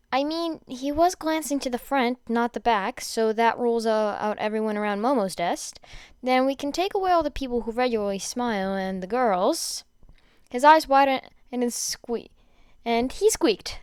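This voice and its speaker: A woman reading fan fiction aloud in an informal, expressive way, stumbling over words now and then. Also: fairly high pitch, clean tone, no room sound, studio quality. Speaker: podfic reader. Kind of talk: amateur fan fiction reading with chatty asides.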